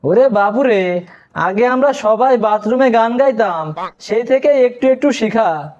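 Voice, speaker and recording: Cartoon granddad played by a man: old, cheeky, teasing, extremely high voice, dull lo-fi sound. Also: expressive, a little slow, darkish tone. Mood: wisecracking. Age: older. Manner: exclamatory, joking, repeats a catchphrase. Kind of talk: scripted cartoon comedy.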